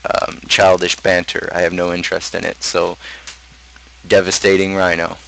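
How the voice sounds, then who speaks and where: A man talking casually as a streamer, explaining his own lyrics in a halting way, often restarting his phrases. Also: low voice, monotone, creaky, fairly bright tone, slight background noise, rough recording. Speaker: streamer and rapper.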